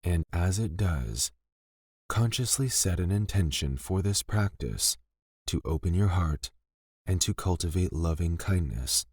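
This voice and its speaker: A man leading a scripted guided meditation in a gentle, soothing and deliberate manner, pausing between phrases. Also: low-pitched, expressive, breathy edge, no echo, studio quality.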